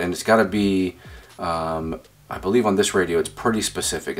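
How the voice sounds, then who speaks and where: Deep voiced guy doing a laid-back hobby radio walkthrough, kinda thinking out loud as he explains. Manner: informal, explanatory, thinking aloud. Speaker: ham radio hobbyist demonstrating equipment. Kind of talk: casual hands-on demonstration. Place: indoors at a desk.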